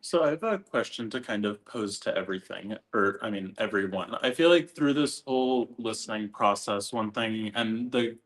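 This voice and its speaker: A man's voice: fairly low pitch, moderate pace, hardly any pauses, fairly bright tone. A man speaking casually and thoughtfully in a group discussion, tentatively rephrasing as he goes.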